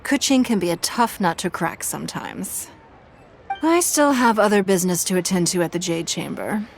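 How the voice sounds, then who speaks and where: A woman voicing a polite, courteous young official in a game, gentle in manner. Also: on the low side, expressive, normal speed, creaky, faint music behind.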